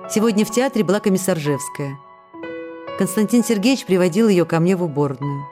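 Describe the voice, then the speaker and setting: An actress in a radio play, playing a devoted, anxious wife reading out tenderly reproachful letters. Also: female voice, on the low side, animated, brisk, lightly acted. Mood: sad, confiding. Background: music behind the voice.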